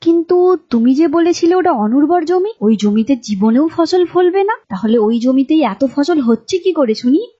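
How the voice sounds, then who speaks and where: A woman's voice: sits high, expressive, moderate pace, dark tone, pitched above the performer's own voice. A woman playing a scheming village wife in an audio folk tale, with a pressing, questioning manner. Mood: agitated. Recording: dull lo-fi sound with music behind.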